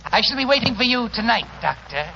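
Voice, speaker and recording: An actor playing a suave, macabre English waxworks owner in a 1940s radio drama, formal and silky in manner, with an English accent. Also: male voice, very high voice, big pitch swings, a little fast, vocal fry, full character voice. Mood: sly, ominous. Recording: dull, noisy 1940s radio recording.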